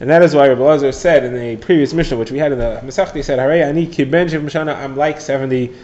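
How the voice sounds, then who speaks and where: A man's voice: mid-range voice, very fast, darkish tone, muffled recording. A man explaining a daily Talmud page, retelling the story plainly and mixing in Hebrew and Aramaic terms. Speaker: Talmud teacher. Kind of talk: daily Talmud lesson for listeners.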